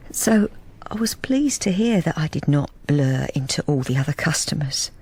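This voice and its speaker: An actress playing a timid, self-effacing, lonely British wife in a radio drama, confiding in a plain, hesitant, apologetic way. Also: female voice, sits low, animated, normal speed, a little mellow, husky, heavily acted. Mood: wistful confiding. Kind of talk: radio drama with intimate first-person narration.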